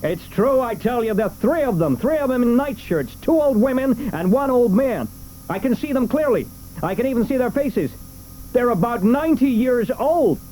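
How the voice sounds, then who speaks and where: A man's voice: very high-pitched, expressive, moderate pace, dark tone, low-quality recording. An actor playing a flustered, blundering male astronaut who stammers and fawns to his superiors. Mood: insistent. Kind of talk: 1980s studio radio play acting.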